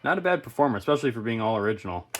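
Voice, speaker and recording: A man's voice: medium pitch, big pitch swings, rapid-fire. A man hosting an electronics video, giving casual, unscripted commentary and rambling as he thinks aloud. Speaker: host of a vintage electronics inspection video.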